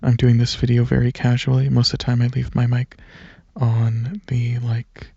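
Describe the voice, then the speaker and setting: A man speaking softly and breathily in a relaxed, hesitant ASMR ramble, partly whispering, into a microphone held close. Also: medium pitch, normal speed, vocal fry, slightly rough, no echo, muffled recording. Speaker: ASMR video creator. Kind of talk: casual rambling ASMR, softly spoken to listeners. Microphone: microphone held close at chest, leaning back.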